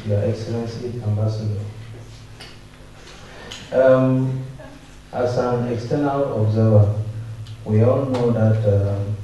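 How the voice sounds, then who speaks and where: A man from Africa asking the ambassador a formal question from the floor, measured and repeating phrases. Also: medium pitch, dark tone, slight background noise. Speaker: audience member asking a question. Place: conference auditorium. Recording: dull, echoey hall audio from far off.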